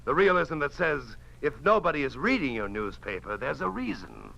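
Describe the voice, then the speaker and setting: Sardonic boozy newsman, old radio drama, creaky sharp voice, very animated and mocking. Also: fairly high pitch, normal speed, heavily acted. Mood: mocking, bitter. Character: cynical hard-drinking newspaperman, sardonic and eloquent. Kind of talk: 1950s radio drama acting.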